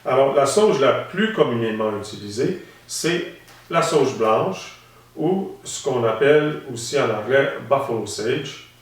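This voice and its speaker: A man from Saskatchewan, calmly explaining and demonstrating as an instructor, often pausing with hesitations. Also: medium pitch. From Saskatchewan, Canada. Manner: calm, explanatory, with frequent hesitations. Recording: very echoey room, mic at a distance.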